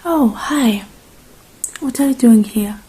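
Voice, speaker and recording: A woman doing a gentle, unhurried ASMR roleplay, speaking softly and slipping into breathy whispers close to the microphone. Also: a little high, low-quality recording. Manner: gentle, unhurried, murmuring to the listener. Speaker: ASMR creator playing a robot repair technician.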